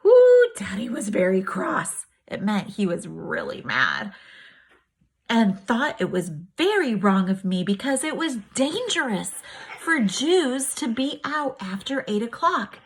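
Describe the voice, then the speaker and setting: Animated, higher-voiced woman reading aloud. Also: slow pace. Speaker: teacher reading aloud to special education students. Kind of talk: expressive read-aloud of a diary.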